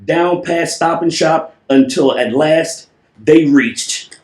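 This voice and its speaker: A man tells a children's story at an unhurried pace in an echoing room. His delivery is playful and theatrical, with drawn-out words and repeated phrases. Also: a little high, darkish tone. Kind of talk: animated storybook read-aloud with playful asides. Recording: roomy, echoing voice recording.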